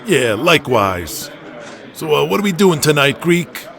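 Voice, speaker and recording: A man's voice: a little high, sing-song, unhurried, gravelly, fairly bright tone, faint music behind, pitched above the performer's own voice, heavily acted. A man playing a surly, suspicious pro wrestler in an audio drama, grudging and challenging in manner. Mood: half-hearted.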